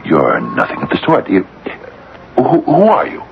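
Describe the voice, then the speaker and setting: Older doting eccentric, extremely deep, creaky, hesitant, fussy, radio drama, muffled old recording. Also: male voice, wildly swooping pitch, moderate pace, a little hiss or hum, exaggerated voice. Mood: startled, flustered. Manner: fussy, hesitant, fond murmuring. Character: doting, eccentric older father obsessed with his cat. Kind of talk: old-time radio drama acting and narration.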